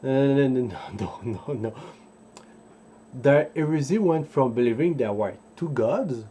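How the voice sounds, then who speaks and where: A man, an English speaker, hosting an informal history explainer in a halting, digressive way as he searches for words. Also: mid-range voice, expressive, mellow, slight background noise. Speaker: host of a history video series. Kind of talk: informal explainer with live translation from a book.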